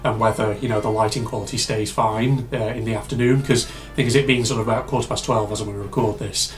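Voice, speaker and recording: A man hosting a casual reaction video, rambling and chatty with lots of fillers, his voice slightly hoarse and congested from a cold. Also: on the low side, fairly even intonation, fast, soft background music.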